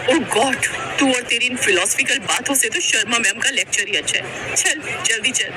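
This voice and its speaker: A woman's voice: on the high side, lively intonation, normal speed, crowd noise, noisy background, lightly acted. A woman voicing a bold, spoiled rich college girl in a dramatic, teasing cartoon style, mixing Hindi with English. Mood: breezy. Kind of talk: Hindi cartoon story voice acting.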